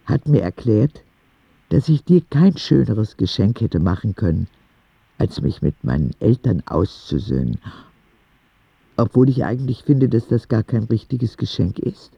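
A childlike, frank letter-writer in a radio drama. His narration is matter-of-fact but very animated in pitch, with plenty of creak. It sounds slightly muffled. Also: normal speed, dry recording, exaggerated voice. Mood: frank, content. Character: frank, childlike ten-year-old boy writing letters to God.

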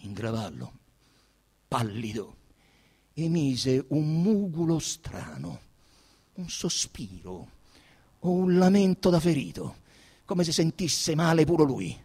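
A man narrating a literary text theatrically, with solemn, deliberate, dramatic phrasing. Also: a little high, sing-song, gravelly, slightly rough. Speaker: narrator and actor.

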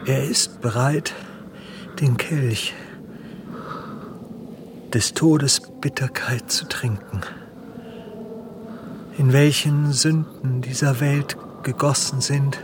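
An actor playing an earnest, troubled believer, reciting verse in a solemn, declamatory way in a German radio play. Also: male voice, mid-range voice, fairly even intonation, takes it slowly, pauses often, background noise, natural voice. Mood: devout, grave. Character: earnest, troubled male believer pondering the Passion.

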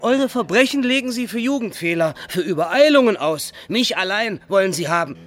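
A proud robber captain with a fairly high, very animated voice. His delivery is rhetorical and impassioned, as in a classical radio play. Music runs clearly behind him. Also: brisk. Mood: challenging. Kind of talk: German radio drama acting.

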